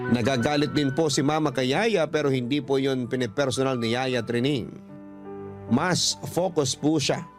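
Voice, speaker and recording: A man narrating a sentimental first-person story as a radio host, reading it like a heartfelt letter. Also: medium pitch. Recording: music bed behind the voice.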